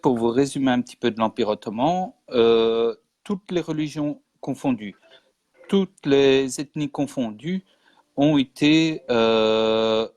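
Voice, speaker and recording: A man's voice: mid-range voice, a little mellow, no echo, clean studio sound. A man from Belgium, a guest in a casual interview, explaining history earnestly and insisting on points with repetition. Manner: earnest, insistent, repeats for emphasis.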